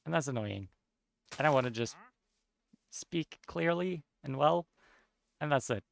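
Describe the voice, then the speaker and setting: A young man with a Norwegian accent, chatting casually while streaming a game, somewhat monotone and mumbling with unclear diction. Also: fairly high pitch, measured pace, creaky. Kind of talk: casual chat while gaming.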